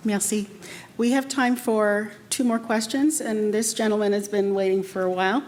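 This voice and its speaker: A woman moderating a press conference, brisk and rushed as she manages the time. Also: medium pitch, steady tone, darkish tone.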